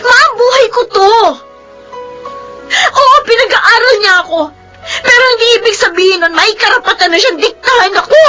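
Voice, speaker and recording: A woman's voice: very high-pitched, moderate pace, bright, forceful tone, faint music behind. A woman playing a defiant, anguished young daughter in a Filipino radio drama, emotional and pleading. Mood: tearful defiance. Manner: emotional, pleading, tearful outbursts. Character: defiant, anguished young daughter pushed toward law school.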